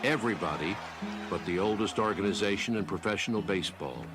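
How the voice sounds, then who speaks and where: A man with a low voice reads documentary narration in a measured, even way. Loud music plays under him the whole time, as in an archive film.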